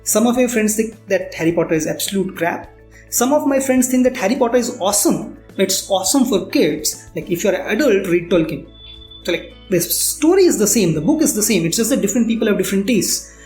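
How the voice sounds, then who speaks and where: A man's voice: high voice, lively intonation, a little fast, a little mellow. Indian man explaining in a roomy space. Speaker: startup founder interviewed as guest. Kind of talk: conversational interview answers. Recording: roomy echo, faint background music.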